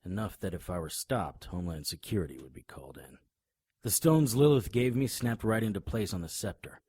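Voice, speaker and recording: Tough private eye man, lowish husky voice, sardonic, hardboiled audio drama, very dry sound. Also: lively intonation, fairly quick, darkish tone, dry recording. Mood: somber narration. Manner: confrontational, sardonic. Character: tough, righteous male private detective, protective and defiant.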